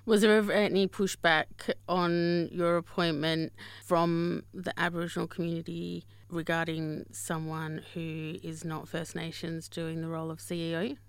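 Gravelly low-voiced woman interviewer. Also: level tone, normal speed, no room sound. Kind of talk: interview questions.

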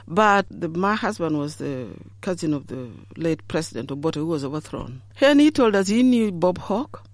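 Middle-aged Ugandan woman interviewee, lively storyteller who laughs through her anecdotes; dry, close sound. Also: on the low side, normal speed, no room sound. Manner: warm storyteller, laughs often, acts out quoted voices.

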